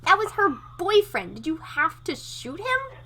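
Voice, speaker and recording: A woman playing a compassionate, halting survivor in a zombie apocalypse game roleplay. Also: very high-pitched, huge pitch swings, pushed and bright, a little hiss or hum, exaggerated voice. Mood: upset, reproachful. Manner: hesitant, pleading, halting. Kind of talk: amateur roleplay acting in a game.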